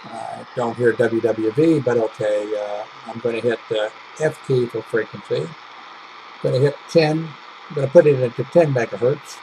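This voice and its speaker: A man in his seventies talking casually and haltingly in an unscripted home video review, with frequent mid-sentence pauses. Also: mid-range voice, fairly even intonation, creaky, warm tone, a little hiss or hum, rough recording. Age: 70s. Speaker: radio hobbyist reviewing a portable shortwave radio. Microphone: webcam microphone.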